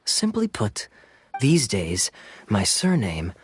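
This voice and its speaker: An actor playing a suave young swordsman in a video game, smooth-talking, playful and sardonic, with secrets to keep. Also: fairly low pitch, wildly swooping pitch, slow pace, a bit bright, full character voice. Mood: musing.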